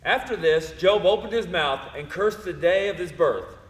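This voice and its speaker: A man's voice: high-pitched, animated, moderate pace, a little hiss or hum. A man narrating a scripted Bible drama in an echoey space. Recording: echoey hall with long reverb.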